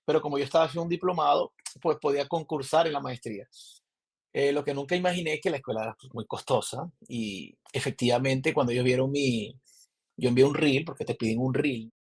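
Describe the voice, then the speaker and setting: Venezuelan film director guest in his 30s, gravelly husky voice, rambling storyteller. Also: medium pitch, brisk. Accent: Maracaibo Venezuelan. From Zulia, Venezuela. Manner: rambling, frequent restarts and filler words. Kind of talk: casual interview storytelling. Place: remote call from abroad.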